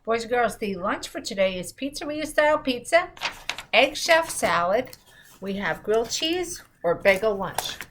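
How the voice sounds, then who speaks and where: A woman's voice: fairly high pitch, sing-song, a little slow, noisy background. A middle-aged woman hosting school morning announcements, speaking cheerfully to children from a seated spot in a school room. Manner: cheerful, addresses children, reads clues aloud.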